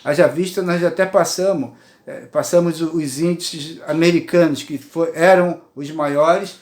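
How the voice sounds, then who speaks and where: A man's voice: a little high, fairly even intonation, a little slow, darkish tone, echoey room. A man in his sixties with a Brazilian Portuguese accent, giving a rambling, earnest personal monologue from his apartment and often checking in with his listeners. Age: 60s. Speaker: poet and writer sharing views.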